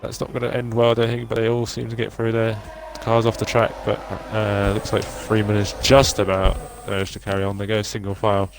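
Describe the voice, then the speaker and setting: Deep-voiced male race commentator. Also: monotone, brisk, creaky, hardly any pauses, background noise. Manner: easygoing, joking, calls the race start. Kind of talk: live sim racing stream commentary.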